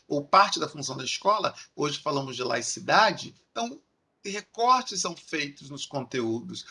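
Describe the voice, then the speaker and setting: A university lecturer with a Carioca accent, explaining concepts in a recorded lecture, building points through repeated lists and checking in with "né?". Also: male voice, a little high, pushed and bright, husky. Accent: Carioca Portuguese. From Rio de Janeiro, Brazil. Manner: explanatory, repetitive rhetorical lists with frequent "né?".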